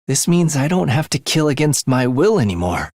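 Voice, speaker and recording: An actor playing a morbid young man in a video game, a soft-spoken killer who is languid, menacing and gleefully excited by death. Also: medium pitch, moderate pace, no echo, clean studio sound. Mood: brooding, detached. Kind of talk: theatrical video game voice acting.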